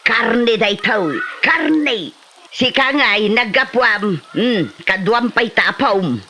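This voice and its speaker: A woman plays a village moneylender in a comedy drama, speaking with an Ilocano Filipino accent. Her voice is bright and forceful and swings widely in pitch. She is exclamatory, neighbourly and proud of her cash. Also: animated, slow, a little hiss or hum, exaggerated voice.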